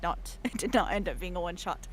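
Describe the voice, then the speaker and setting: A woman's voice: fairly low pitch, lively intonation, quick pace, a little hiss or hum. A woman chatting casually on a livestream, effusive and theatrical, putting on a British accent with old-fashioned formal phrasing. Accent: put-on British.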